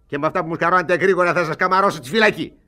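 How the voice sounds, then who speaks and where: A man's voice: a little high, sharp tone. Older thief boss, male, pompous, exasperated, blustering, stammered repeats, radio theatre comedy. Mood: scolding, exasperated.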